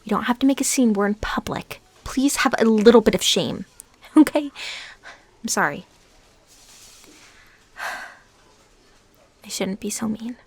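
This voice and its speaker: A woman's voice: fairly high pitch, darkish tone, slightly rough. Intense, lovesick female ex-stalker, acted by a woman. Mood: panicked, defensive. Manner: halting, pleading, emotionally intense, drops into whispers. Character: lovesick young female ex-stalker, defensive, bitter, unstable. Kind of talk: intimate dramatic audio roleplay.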